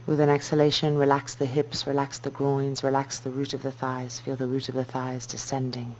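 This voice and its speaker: A woman yoga teacher guiding a meditation in a slow, soft, calming and measured way. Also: extremely low pitch. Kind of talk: guided meditation instruction. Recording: dull muffled recording with noise and hum.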